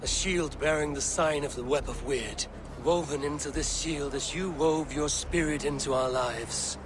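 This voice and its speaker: An actor playing a loyal, plain-spoken Viking warrior in a video game cutscene, with a measured, earnest delivery. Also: male voice, fairly high pitch, noisy background, lightly acted. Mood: solemn. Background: prominent music.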